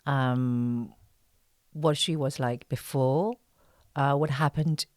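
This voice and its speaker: A woman speaking as an interview guest on a podcast, halting and thoughtful, with many pauses. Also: very deep voice, fairly even intonation, a little mellow, no room sound, studio quality. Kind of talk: conversational interview.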